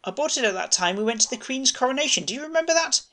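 A pompous, middle-aged former ambassador on the skids, as a male narrator puts him on. The voice is pitched up and bright, full of bitterness and self-pity, in the manner of a comic audio story. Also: lively intonation, rapid-fire, full character voice. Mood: pompous, wistful.

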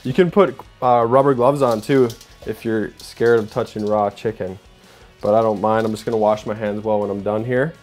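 A man's voice: steady tone, normal speed, a little mellow, faint music behind. A young man hosting a casual cooking demo in a home kitchen, chatty and upbeat, narrating each step as he works. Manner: upbeat, chatty, says "boom" while working. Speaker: cooking show host.

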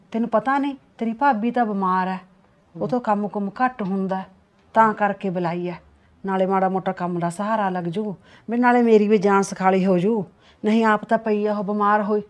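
A woman's voice: mid-range voice, moderate pace, natural voice. A woman playing a scolding Punjabi-style mother. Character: scolding middle-aged mother of the house. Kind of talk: comic family skit acting.

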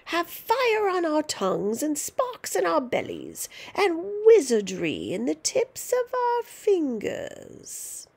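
A woman's voice: very high voice, wildly swooping pitch, takes it slowly, creaky, hardly any pauses, darkish tone, voice pushed up, full character voice. A woman voicing a sinister old grandmother witch who talks in a throaty, menacing whisper.

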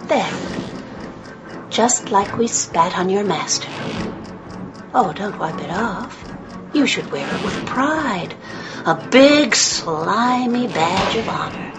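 A woman's voice: medium pitch, expressive, takes it slowly, long pauses, over music. A woman in a radio play voicing a mocking, vicious legion of demons inside a possessed girl, in a theatrical manner. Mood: venomous, gloating.

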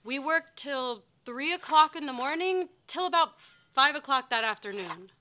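A young actress playing a heartfelt farmworker daughter recalling hardship in a dramatized monologue. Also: female voice, high voice, expressive, moderate pace, pushed and bright, a little hiss or hum. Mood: wistful. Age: young adult. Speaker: actor performing a monologue. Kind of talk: dramatized oral history monologue.

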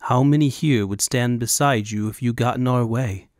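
A man's voice: medium pitch, normal speed, dry recording, clean studio sound, close to an everyday voice. A man voicing an imperious witch hunter in an audiobook, with a cold, menacing drawl. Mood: cold menace.

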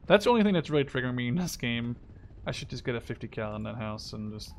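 A man's voice: medium pitch, very animated, fast talker, vocal fry. Young man casually commentating a strategy game. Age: 20s. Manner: thinking aloud, muttering, casually profane. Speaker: gamer commentating own play. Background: game gunfire and artillery.

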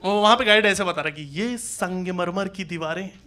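A man's voice: sits high, a little fast, pushed and bright. A man, telling colloquial stand-up stories and acting out dialogue on a handheld mic. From Uttar Pradesh, India. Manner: conversational, colloquial, acts out dialogue in anecdotes. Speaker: stand-up comedian. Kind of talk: live observational stand-up storytelling. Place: small comedy club stage, indoors. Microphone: handheld stage microphone. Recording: live club recording with audience noise. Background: audience laughter and noise.

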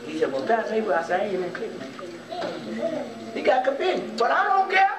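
A man's voice: high voice, huge pitch swings, quick pace, a loud crowd. An older man preaching an impassioned, colloquial sermon, emphatic and repetitive, stumbling over words in bursts. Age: older, 60s or 70s. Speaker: pastor preaching. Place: indoor church sanctuary, pacing before congregation. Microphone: distant camera in the room. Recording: echoey church hall from far off, loud congregation.

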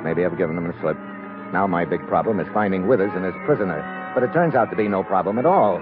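Wanted: male actor for tough, world-weary adventure hero narrating a 1950s radio serial, low pitch, dull vintage sound. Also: fast, close to an everyday voice. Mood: tense. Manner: clipped, wry, world-weary delivery. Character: hard-boiled male secret agent hero, wry and tough. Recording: muffled old radio recording, music behind.

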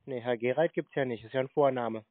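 A man's voice: medium pitch, fairly even intonation, a little fast, dry recording. A man bantering casually on the phone in a teasing, sarcastic manner. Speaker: prank call recipient baiting scam callers. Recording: tinny phone-like sound.

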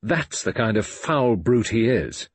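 A man's voice: lowish voice, big pitch swings, unhurried, husky, no room sound, exaggerated voice. A man voicing an agitated, stern, disapproving English father in an audiobook, ranting and pleading. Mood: appalled, pleading.

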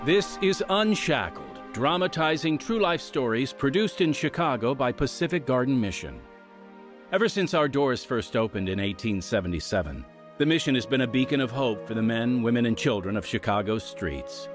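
A man announcing and hosting a scripted radio drama programme in a dramatic, measured manner. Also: mid-range voice, animated. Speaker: announcer and host. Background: music.

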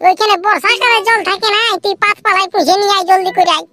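An actor voicing a bossy, scolding young village labourer in a comedy cartoon, loud and crude, with a pitched-up effect. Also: fairly even intonation, fast. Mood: impatient, bossy. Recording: noisy recording.

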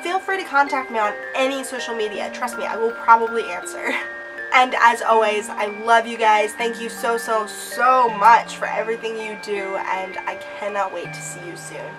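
A woman in her twenties speaks in a fairly high voice that is a touch off from a cold. She chats casually and emphatically, as a vlogger does to regular viewers. She is recorded a little away from the camera microphone, with clear music behind her. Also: normal speed, recorded from a distance, poor audio. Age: 20s. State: slightly off voice from a cold. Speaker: vlogger, channel host. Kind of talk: casual vlog chat to viewers. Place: small cluttered room at home.

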